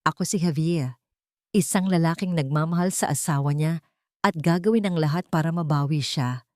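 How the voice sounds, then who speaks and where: A woman's voice: sits low, normal speed, mellow, no room sound, clean studio sound, natural voice. A woman with a Filipino accent voicing a humbled rich husband, earnest and pleading, in an audio romance story. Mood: humbled, resolved.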